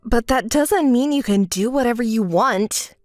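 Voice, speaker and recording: A woman voicing a calm, reproachful young ex-wife in a melodramatic story, in a cool, measured, dismissive manner. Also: a little high, dry recording, clean studio sound, soft background music, pitched below the performer's own voice, close to an everyday voice. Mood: stern, reproachful.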